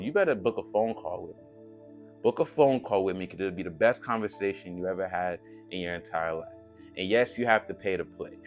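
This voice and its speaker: Male motivational coach, lowish voice, slangy and emphatic, talking casually to viewers with music underneath. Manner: slangy, emphatic, repeats phrases for effect. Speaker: spiritual coach and channel host.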